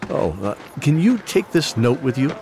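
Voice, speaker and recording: A man's voice: medium pitch, very animated, normal speed, warm tone, slight background noise, lightly acted. A man playing a genial fantasy guide who hosts an audio drama, theatrical and folksy, with hesitant asides. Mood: welcoming. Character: genial male guide sending adventurers off. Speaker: host and storyteller. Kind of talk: in-character fantasy audio drama host narration. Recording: music behind the voice.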